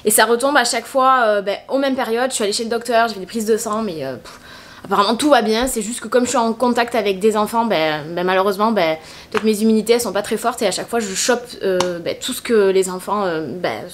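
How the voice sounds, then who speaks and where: Chatty woman vlogger with a cold-stuffed voice, quick delivery, rambling with hesitations. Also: medium pitch, slight background noise. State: congested with a cold. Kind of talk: casual shopping haul chat.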